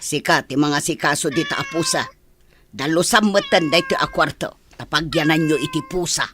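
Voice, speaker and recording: A woman's voice: deep voice, big pitch swings. A woman playing a bossy senior housemaid who gives a new maid her instructions, in a radio drama. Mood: instructive. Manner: brisk, instructive.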